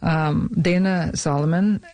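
A woman's voice: sits low, steady tone, takes it slowly, warm tone, dry recording, lo-fi sound. A woman who grew up speaking Michif, a filmmaker guest in a radio studio, talking casually with many fillers and restarts. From Saskatchewan, Canada. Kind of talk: casual interview talk.

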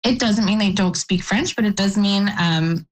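A woman who organizes youth employment work, answering radio interview questions in a halting, measured way and often restarting her phrases. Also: medium pitch.

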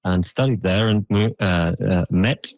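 Very low-voiced Swiss musician. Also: male voice, a little slow, no echo, lo-fi sound. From Switzerland. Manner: relaxed, hesitant with fillers. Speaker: band member, guest. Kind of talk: casual interview answers.

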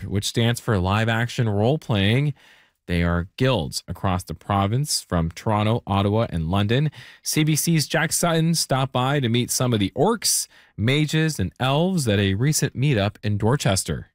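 A male radio host reading scripted links in a smooth presenter delivery. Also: medium pitch, expressive, measured pace, dry recording, clean studio sound.

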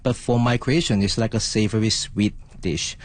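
A man's voice: on the low side, fairly even intonation, moderate pace. Man explaining his recipe. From Hong Kong. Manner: earnest, explanatory, with small grammar slips. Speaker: guest chef. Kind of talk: explaining a recipe in a studio interview.

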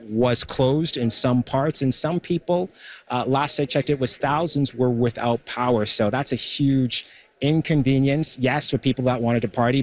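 Man reporting live outdoors. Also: medium pitch. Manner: conversational, brisk, with fillers and restarts. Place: outdoors on location.

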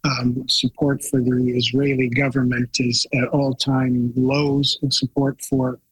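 Radio guest, man, husky voice, flat pitch, halting with fillers, dry sound. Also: no echo, low-quality recording. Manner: hesitant, many fillers, critical. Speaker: guest commentator. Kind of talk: radio discussion.

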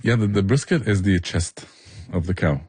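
A man's voice: sits low, moderate pace, darkish tone, husky, dry recording. A man explaining things with passion in a conversational interview. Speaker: barbecue restaurant owner and chef, interview guest.

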